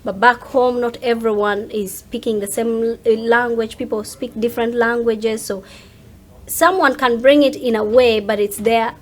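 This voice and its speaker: A woman's voice: a little high, steady tone, moderate pace. A woman with a Ugandan accent speaking casually as an interview guest, explaining things by acting out what others say. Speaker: interview guest, social media content creator.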